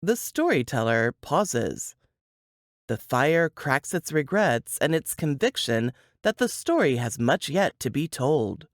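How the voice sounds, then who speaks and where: A woman reading a suspense novel aloud in a dramatic, deliberate storytelling manner. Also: low voice, big pitch swings, no room sound, studio quality. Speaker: author reading from their novel.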